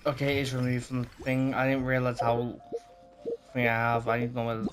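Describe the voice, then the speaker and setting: A man's voice: medium pitch, monotone, fairly quick, a little hiss or hum. A man giving casual, rambling reactions and muttered asides while streaming a video game. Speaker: gaming streamer.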